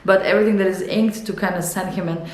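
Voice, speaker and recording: A young woman with a Serbian accent, a Serbian native speaker, rambling and thinking out loud in a casual studio vlog, with many fillers and restarts. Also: medium pitch, steady tone, fast talker, roomy sound. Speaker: illustrator and author. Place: home art studio.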